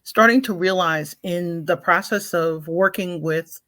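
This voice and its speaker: A woman's voice: fairly low pitch, a little slow, a bit bright. Woman, talk show host, live online stream, effusive, enthusiastic.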